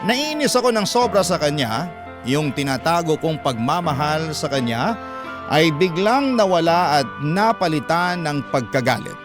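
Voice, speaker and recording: A man's voice: fairly high pitch, very animated, moderate pace. A man narrating as a radio host, reading out a personal letter in a dramatic storytelling style. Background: background music.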